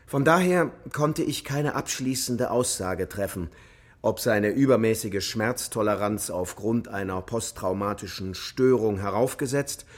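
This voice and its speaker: An actor playing a precise military psychologist giving factual, clinical testimony in a radio drama. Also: male voice, fairly low pitch, a little slow, natural voice.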